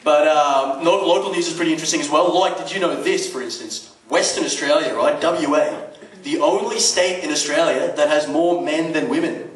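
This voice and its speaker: High-pitched male stand-up comic, roomy club sound. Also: normal speed. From Dubai. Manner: conversational, punctuated with rhetorical questions and swearing. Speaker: stand-up comedian. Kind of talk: stand-up comedy routine. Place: comedy club stage. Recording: roomy, distant mic, noisy club recording. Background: audience laughter, background noise.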